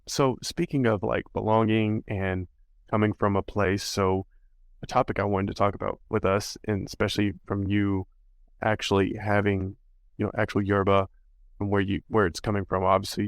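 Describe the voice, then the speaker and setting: Gravelly-voiced male podcast host. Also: on the low side, no room sound. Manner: rambling, full of "you know" and "like". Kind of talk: casual interview chat.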